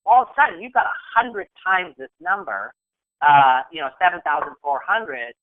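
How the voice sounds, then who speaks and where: A man's voice: on the high side, lively intonation, normal speed, narrow, tinny audio. A man with a cold and a nasal voice presenting a business webinar in a conversational way, full of fillers and restarts. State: has a cold, congested. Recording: telephone-band webinar audio.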